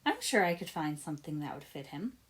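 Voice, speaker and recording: A woman's voice: deep voice, big pitch swings, brisk. A woman voicing a sarcastic, vengeful sister in a story, scoffing and mock-sweet. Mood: falsely helpful. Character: sarcastic, vengeful young sister, scheming against a child.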